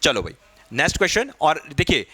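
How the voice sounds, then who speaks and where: A man teaches an exam-prep class in a fairly high voice. He works through each point step by step, dropping in English technical terms and short tag questions. The sound is very dry, with no room echo. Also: wildly swooping pitch, fairly quick, bright, forceful tone, breathy edge. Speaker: physics teacher for exam preparation.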